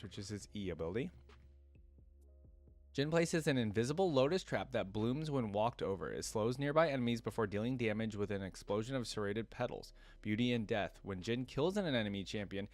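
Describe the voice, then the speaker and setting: A man hosting a reaction video, giving casual commentary and sometimes reading descriptions aloud. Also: on the low side.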